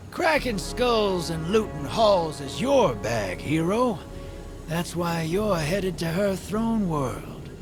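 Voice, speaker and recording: Video game rogue: world-weary streetwise gunslinger, slow and slangy drawl, music underneath. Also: male voice, on the high side. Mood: breezy, scheming. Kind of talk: video game character dialogue.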